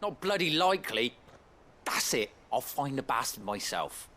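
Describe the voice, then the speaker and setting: A man's voice: medium pitch, very animated, normal speed, sharp tone, a little hiss or hum. A man playing an angry, impatient British master in a video game, curt and scornful. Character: angry, impatient man hunting his runaway slave.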